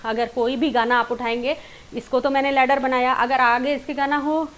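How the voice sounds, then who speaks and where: High-voiced Indian woman teaching singing. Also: level tone, normal speed, a bit bright. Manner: instructive, addresses viewers directly, mixes in English terms. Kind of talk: online singing lesson, informal explanation.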